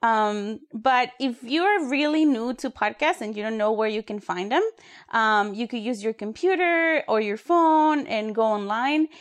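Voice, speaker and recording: Woman co-host from Ecuador, chatty and friendly, a bit high-pitched. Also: a little slow. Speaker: podcast co-host, youth worker. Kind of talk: casual podcast hosting.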